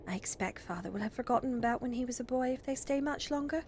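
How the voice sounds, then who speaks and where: A woman's voice: fairly high pitch, quick pace, darkish tone, a little hiss or hum, pitched above the performer's own voice, close to an everyday voice. A woman voicing an eager, earnest young girl in a storybook reading. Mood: wistful.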